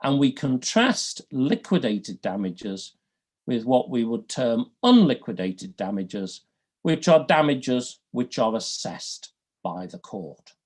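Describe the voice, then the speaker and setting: Liverpool-accent law prof lecturing slow, voice goes up and down a lot, dry jokes. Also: male voice, mid-range voice, sing-song, darkish tone. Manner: dry humour, deliberate, rises and falls a lot. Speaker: law professor. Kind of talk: academic lecture.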